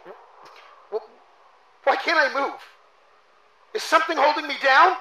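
An actor playing a pompous university dean in a horror drama, indignant and bewildered, with a stammering, pleading manner. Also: male voice, very high voice, expressive, slow, pushed and bright, exaggerated voice. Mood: confused, indignant. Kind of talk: analog horror drama acting. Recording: echoey room with faint noise.

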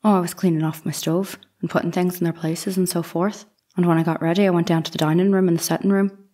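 An actress playing a young housemaid giving testimony in an audio drama, answering in plain, brief, deferential replies. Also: female voice, lowish voice, level tone, fast talker, mellow, lightly acted. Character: young Irish housemaid on the witness stand.

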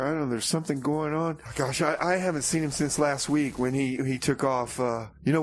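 A man hosting a comedy radio show in a rambling, halting, folksy way, often repeating himself. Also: mid-range voice. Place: radio studio.

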